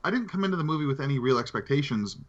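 Male podcast panelist, mid-pitched, conversational, a slightly dull lo-fi recording. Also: expressive, very fast. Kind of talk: casual movie discussion.

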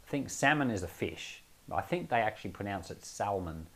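A man speaking as a pastor, reading scripture aloud and explaining it informally, with expressive and emphatic phrasing. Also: lowish voice, brisk, vocal fry, slightly rough.

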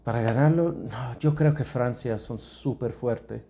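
A man from England, a native English speaker with an English accent, co-hosting a podcast in casual chat and speaking halting learner's Spanish with English words slipped in. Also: medium pitch, gravelly, warm tone. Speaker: podcast co-host.

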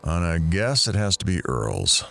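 A very deep, low-pitched male actor as a sardonic investigator in an audio drama. He talks in a wry, unhurried, matter-of-fact way, with faint music underneath. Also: huge pitch swings, creaky, sharp tone, exaggerated voice. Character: dry, sardonic male investigator searching rooms.

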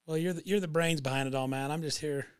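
A man's voice: fairly high pitch, fast, smooth. A man in his late thirties co-hosting a casual podcast, laid-back, with lots of short agreeing replies. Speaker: podcast co-host. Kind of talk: casual chat.

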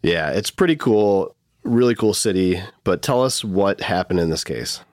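Deep-voiced Midwestern man hosting. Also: lively intonation, normal speed, a little mellow, no room sound, clean studio sound. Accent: Midwestern American. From Michigan. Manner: joking, easygoing. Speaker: podcast host. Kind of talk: casual legal podcast chat.

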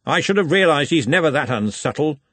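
A man's voice: on the high side, animated, brisk, a bit bright, dry recording, pitched above the performer's own voice. A man voicing a pompous older wizard in charge, with an oratorical manner, in a fantasy audiobook. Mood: gloomy.

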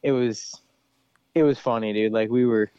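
A man's voice: mid-range voice, steady tone, moderate pace, warm tone, clean tone, dry recording, dull audio. A young man, an ATV racer, talking on a podcast in a laid-back, rambling way, full of "you know" and "dude". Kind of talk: casual podcast interview.

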